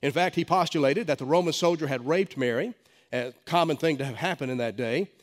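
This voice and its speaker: A man's voice: fairly high pitch, fairly quick. Stuffed-up preacher man in a reverberant hall. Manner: emphatic preaching with frequent stammered restarts. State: stuffy head cold. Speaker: pastor. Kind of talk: sermon. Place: church sanctuary.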